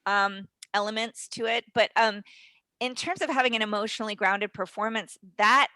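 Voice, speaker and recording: A woman speaking in an animated, emphatic way as a guest acting teacher, answering casually in an interview. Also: mid-range voice, normal speed, no room sound.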